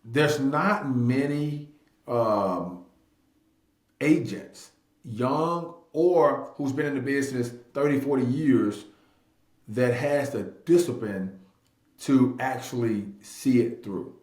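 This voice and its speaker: A man's voice: mid-range voice, slow, darkish tone. A man of about thirty telling his story casually in an interview, emphatic, repeating phrases and acting out bits of dialogue. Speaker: real estate agent and investor being interviewed. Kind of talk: animated personal storytelling in casual talk. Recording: echoey room.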